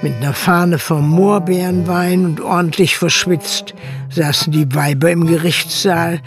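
A gravelly folksy village narrator. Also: male voice, a little high, fairly even intonation, unhurried. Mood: wry. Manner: colloquial storytelling with a dry village tone. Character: gossiping male village narrator, plain-spoken. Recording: radio drama narration over music.

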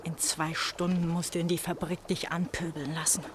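A woman's voice: on the low side, flat delivery, normal speed, a little hiss or hum, natural voice. An actress playing an exhausted, frightened young woman on the run in a radio drama, speaking in a fragile, intense way. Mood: bitter, mocking. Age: young adult. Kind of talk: German radio drama acting.

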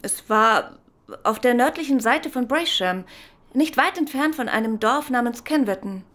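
An actress playing a self-assured, determined wife of a detective in a radio drama. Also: female voice, fairly high pitch, animated, moderate pace, fairly bright tone.

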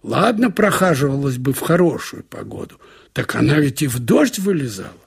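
A man narrating a short story in a conversational, wry way, with expressive asides, like a radio audiobook reader. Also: mid-range voice, normal speed, gravelly, husky. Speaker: narrator reading literary prose.

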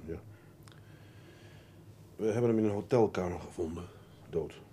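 Actor as a grave, low-voiced police detective. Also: male voice, warm tone, slight background noise, close to an everyday voice. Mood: grave, hesitant. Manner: measured, polite, hesitant before bad news. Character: grave, blunt but decent male police detective. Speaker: actor in a crime radio drama. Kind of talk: Dutch radio play acting.

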